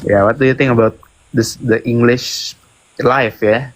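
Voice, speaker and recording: A man's voice: medium pitch, steady tone, measured pace, low-quality recording. A young man with an Indonesian accent, chatting on a livestream in English with playful hesitations and fillers. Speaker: livestream co-host. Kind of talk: casual livestream chat.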